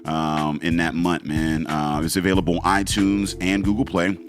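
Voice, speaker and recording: Male podcast host, deep voice, relaxed plug-reading delivery, studio mic, music bed. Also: normal speed. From Pittsburgh, USA. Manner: laid-back, loose, swearing, many fillers. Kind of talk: casual podcast chat, reading sponsor plugs.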